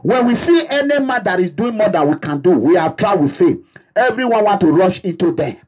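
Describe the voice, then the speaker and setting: A man's voice: sits high, very animated, a little fast, a little mellow. A Nigerian man, an activist leader, giving an impassioned, repetitive address to his supporters in a preaching manner. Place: abroad, away from home.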